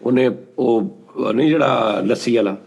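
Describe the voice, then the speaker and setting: A man telling funny stories in a casual chat, animated and quick to act out quotes. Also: creaky, a crowd in the background. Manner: animated, jumps into high-pitched acted quotes. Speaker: storyteller guest.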